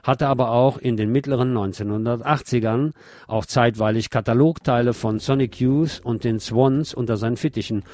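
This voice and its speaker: A man's voice: mid-range voice, fairly even intonation, moderate pace, dark tone, dry recording, studio quality. A man reading a written article aloud as a radio host, in a scripted narration style.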